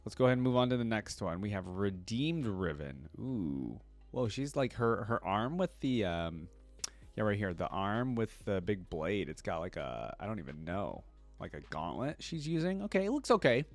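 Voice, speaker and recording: A man's voice: lowish voice, very animated, normal speed, faint music behind. A man hosting a casual reaction video, rambling and thinking aloud as he comments.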